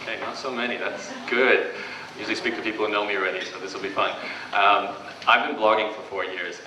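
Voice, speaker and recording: A man from Australia with a Canadian accent giving a live workshop presentation in an event room, speaking in a quick, chatty and informal way to the audience. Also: low-pitched, roomy sound, recorded from a distance, background noise. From Brisbane, Australia.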